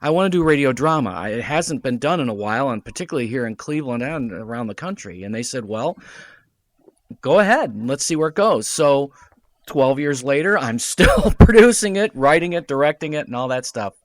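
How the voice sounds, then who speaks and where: A middle-aged man, a radio drama producer and writer, chatting casually as a podcast guest and telling his stories with lively quoted dialogue. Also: medium pitch, fairly quick, no echo. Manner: storytelling, animated, quotes others in dialogue. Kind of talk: casual chat.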